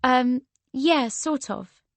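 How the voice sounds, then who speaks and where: A woman voicing an earnest, nervous teenage girl in an audiobook, speaking in a hesitant, halting way. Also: high voice, no echo, pitched above the performer's own voice, heavily acted. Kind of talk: character dialogue in an audiobook.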